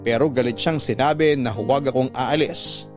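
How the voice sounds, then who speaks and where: A male storyteller narrates a personal letter for radio drama. His pitch sits in the middle of the male range, and the delivery is dramatic and confessional, with faint music underneath. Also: moderate pace.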